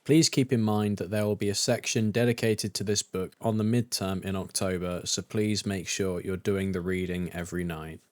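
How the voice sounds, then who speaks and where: Guy doing a patient male teacher in a visual novel, lowish voice, instructive, no room echo. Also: fairly even intonation, normal speed, few pauses, a little mellow, close to an everyday voice. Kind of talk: visual novel character dialogue, classroom address.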